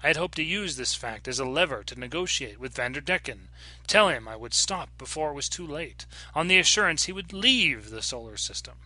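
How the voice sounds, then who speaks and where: A frantic, principled time traveler voiced by a male narrator, earnest and formal in an audiobook adventure. The voice is sharp and forceful, recorded close and dry. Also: on the high side, animated, brisk, no echo, pitched above the performer's own voice. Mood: urgent, reproachful. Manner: earnest, emphatic, formal diction. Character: frantic, principled time-travelling man pleading a doomed planet's case. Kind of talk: dramatic audiobook character reading.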